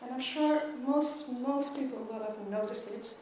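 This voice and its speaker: High-voiced Swedish woman who stutters. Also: unhurried, heavy background noise. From Sweden. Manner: stutters with repeated words and syllables. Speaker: keynote speaker. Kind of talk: conference keynote speech. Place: large conference hall. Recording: echoey hall, mic from far off.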